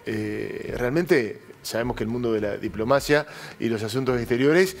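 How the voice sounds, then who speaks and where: A man's voice: medium pitch, normal speed, faint music behind. Argentine male TV host, emphatic. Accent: Argentine Spanish. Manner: emphatic storytelling, addresses co-host by name. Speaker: TV political show host. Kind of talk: studio talk show conversation. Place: TV studio.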